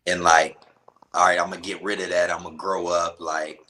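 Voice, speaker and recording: A man's voice: sits low, flat delivery, moderate pace, pushed and bright, a little hiss or hum. A man conducting a casual interview, informal and feeling his way through his questions.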